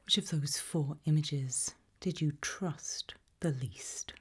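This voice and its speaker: A woman softly whispering an ASMR roleplay, questioning the listener in a deliberate, gently ominous way. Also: low-pitched. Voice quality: soft breathy whisper, mostly unvoiced.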